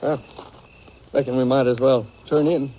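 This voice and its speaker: A man playing an Old West outlaw sidekick with an American accent. His voice sits at a medium pitch. It comes from a dull, slightly noisy vintage radio western. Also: fairly even intonation, moderate pace, lightly acted. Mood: teasing. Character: uneasy outlaw brother, a compliant follower. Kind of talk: 1950s radio western acting. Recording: muffled, noisy 1950s radio recording.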